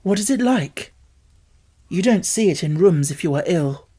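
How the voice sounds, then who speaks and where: Woman voicing an imperious young boy, higher-pitched. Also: lively intonation, normal speed, dark tone, husky. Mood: curious. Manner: demanding, insistent. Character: imperious, sickly young boy, curious and persistent.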